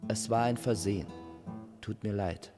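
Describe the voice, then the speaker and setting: A man's voice: on the low side, moderate pace, long reverb tail, music in the background, voice pushed up, natural voice. Man acting an earnest, apologetic young angel. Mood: confessing. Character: earnest young male angel archer, apologetic, honourable suitor.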